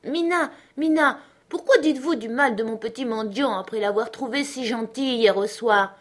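A woman's voice: a little high, normal speed, pitched above the performer's own voice, close to an everyday voice. A woman voicing a sweet, eager and generous little girl in a storybook reading. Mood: reproachful, pleading.

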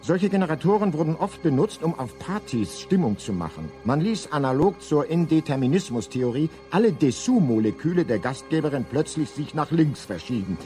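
A man of medium pitch as a galactic guidebook's narrator, with very lively intonation over faint music. He lectures in a wry, pompous, mock-scholarly way, as in a comic radio play. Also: moderate pace, few pauses. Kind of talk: scripted comic science-fiction narration.

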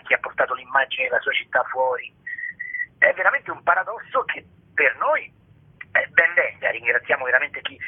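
Husky older man on the phone. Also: mid-range voice. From Italy. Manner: polemical, ironic, emphatic. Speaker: theatre artist being interviewed. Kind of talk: radio interview. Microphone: telephone. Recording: narrow tinny phone line.

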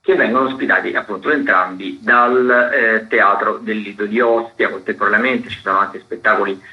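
A man's voice: on the low side, fairly even intonation, normal speed, lo-fi sound. A man presenting a casual events roundup as a theatre critic on radio, chatty and improvised, often correcting himself.

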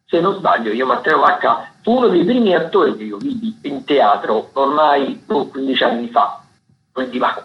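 A man hosting a radio programme, reading out listings informally in a halting, digressive way and often correcting himself. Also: medium pitch, animated. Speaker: radio host presenting theatre listings. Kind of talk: informal spoken radio listings of upcoming shows. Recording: roomy, slightly dull radio broadcast sound.

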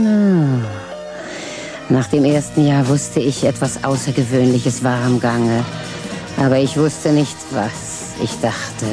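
A woman in a radio drama speaking in a dry, matter-of-fact way, later declaiming a strange incantation. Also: extremely low pitch. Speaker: radio drama lead character.

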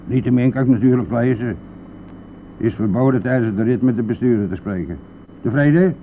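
A man's voice: mid-range voice, brisk, natural voice. Guy playing a nosy grumbling bus driver in an old radio play, teasing and blustery, phone-like sound, faint noise. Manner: teasing, blustering, chuckling at own jokes. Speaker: radio drama actor. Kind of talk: Dutch radio play acting. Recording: tinny narrow-band old radio recording, vehicle noise.

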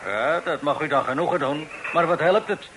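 Actor as wry, talkative old-time sea captain. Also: male voice, fairly high pitch, normal speed, background noise, natural voice. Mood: wry, resigned. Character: garrulous wry male sea captain full of sayings. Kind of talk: radio drama acting.

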